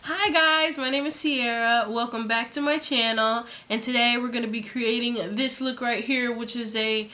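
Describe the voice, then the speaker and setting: A young woman vlogger with a raspy voice and a sore throat, chatting casually through a makeup tutorial. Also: a little high, moderate pace, hardly any pauses, soft background music. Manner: chatty, rambling, relaxed. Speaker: beauty vlogger.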